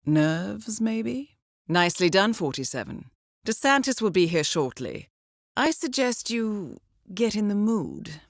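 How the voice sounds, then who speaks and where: Dry, poised spy handler, lively intonation. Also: female voice, medium pitch, measured pace, no echo. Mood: quietly satisfied. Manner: composed, clipped, wryly understated. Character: poised, dry-witted female intelligence handler guiding an assassin. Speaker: mission handler. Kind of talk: scripted game briefing dialogue.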